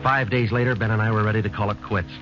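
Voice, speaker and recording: An actor playing a stoic, businesslike police sergeant in a 1940s radio crime drama, talking in terse, matter-of-fact phrases. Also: male voice, on the low side, fairly even intonation, fast talker, lightly acted. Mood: terse, deadpan. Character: stoic, businesslike male police sergeant, narrating his cases. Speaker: detective and narrator. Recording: dull old radio recording, loud music.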